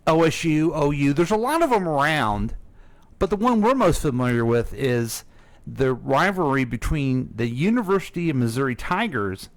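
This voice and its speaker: A man's voice: fairly high pitch, sing-song, moderate pace, dry recording. A man hosting a local radio history show in casual, playful chat, leading the questions. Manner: chatty, playful, leads the questions. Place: radio studio. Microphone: studio microphone.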